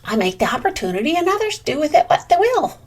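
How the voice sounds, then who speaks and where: A woman voicing a calm, generous female squirrel who speaks softly and mildly. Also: sits high, fast, voice pushed up. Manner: soft, mild, unbothered.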